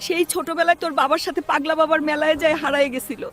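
A woman's voice: high-pitched, fairly even intonation, rapid-fire, natural voice. A woman voicing a bossy, urgent cartoon villager in colloquial Bangladeshi speech. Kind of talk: comic cartoon dub.

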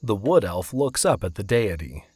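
A man's voice: lowish voice, sing-song, normal speed, a little mellow, breathy edge, no room sound. A man reading scripted narration for a fantasy audio drama.

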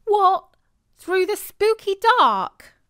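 A British woman voicing a fearful, complaining young boy in a children's story, whining and excitable. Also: very high-pitched, very slow, pitched above the performer's own voice, full character voice.

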